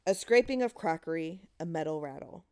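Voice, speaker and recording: A woman's voice: low-pitched, lively intonation, brisk, warm tone, smooth. A woman narrating a horror short story in a dramatic reading style.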